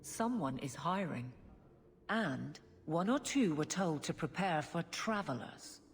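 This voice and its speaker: A woman's voice: low voice, animated, slow pace, a little hiss or hum, exaggerated voice. An actress playing a stern, practical city guardswoman in a fantasy game, blunt and businesslike. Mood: brisk, firm. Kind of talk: fantasy video game acting. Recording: long reverb, loud music behind.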